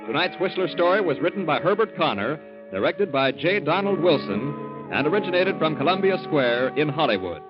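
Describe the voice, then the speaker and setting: A man's voice: on the high side, very animated, normal speed. An American man working as a network announcer, reading a scripted announcement in 1940s radio style. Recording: muffled 1940s radio, loud music. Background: loud music.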